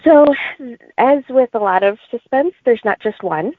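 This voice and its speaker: A woman's voice: fairly high pitch, expressive, unhurried, dry recording. Author woman on a tinny, dry line. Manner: chatty, many ums, laughs often. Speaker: author guest. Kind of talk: casual interview over a call.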